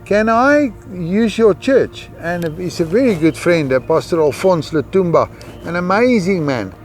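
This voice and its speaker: Middle-aged man, South African Afrikaans accent, slightly high voice, hesitant and reflective, personal testimony, faint music underneath. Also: expressive, measured pace. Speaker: missionary giving a testimony. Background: background music.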